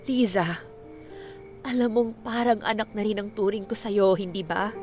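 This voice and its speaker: A woman's voice: mid-range voice, fairly even intonation, normal speed, darkish tone, soft background music, natural voice. A woman playing an outspoken, caring middle-aged auntie in a Filipino radio drama, with a blunt, nagging manner. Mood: apologetic.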